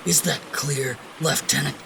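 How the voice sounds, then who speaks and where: Creaky, haughty, irritable naval saboteur. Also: male voice, a little high, fairly even intonation, unhurried. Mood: bossy, hissing. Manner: haughty, cutting, often hissing under breath. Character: irritable, domineering naval saboteur who outranks his partner. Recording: noisy vehicle-like ambience behind.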